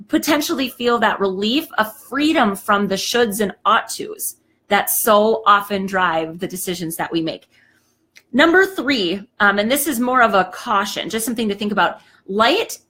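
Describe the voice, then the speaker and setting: A woman in her thirties or forties hosting a live show, giving a chatty, emphatic motivational talk and often saying "okay", her voice a little hoarse. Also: mid-range voice, fairly bright tone. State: slightly hoarse, clearing throat.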